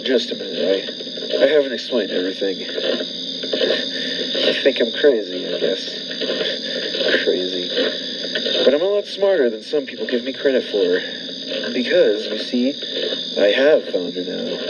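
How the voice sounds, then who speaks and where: A deranged, murderous husband played by a man in an old radio thriller, speaking low. He is folksy yet coldly menacing and insistent. The sound is dull, with clear noise over it. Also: animated, moderate pace, pauses often. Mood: menacing, confiding. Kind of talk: 1940s radio thriller acting.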